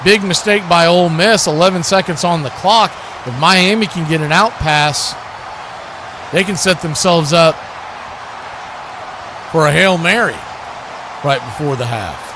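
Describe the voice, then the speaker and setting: A man with a notably high voice delivers live American football play-by-play as the announcer. Clear background noise runs behind his commentary. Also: a little slow, long pauses.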